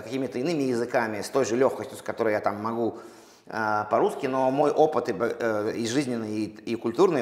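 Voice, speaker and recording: A man from Russia, a poet, answering interview questions slowly and thoughtfully, with many pauses and restarts. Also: mid-range voice. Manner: halting, many fillers and restarts. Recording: echoey room with long reverb.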